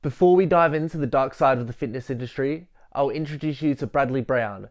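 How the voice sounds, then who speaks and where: A young man reading scripted documentary narration in an earnest, measured way. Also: mid-range voice.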